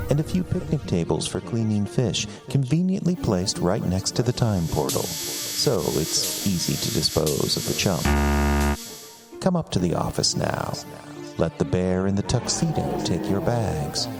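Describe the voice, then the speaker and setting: Man putting on low, theatrical British presenter. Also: wildly swooping pitch, a little slow, exaggerated voice. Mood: wry, theatrical. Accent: put-on British. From United States. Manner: wry, pompous, mannered. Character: pompous, wry British gentleman presenter. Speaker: podcast host. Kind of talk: theatrical scripted narration. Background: music.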